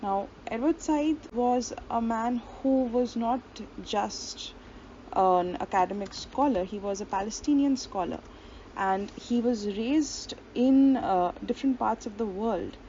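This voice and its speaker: A fairly high-voiced woman gives a steady explanatory lecture, reading long passages aloud with the odd filler and restart. The recording is dull and lo-fi, with a faint hiss running underneath. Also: a little slow.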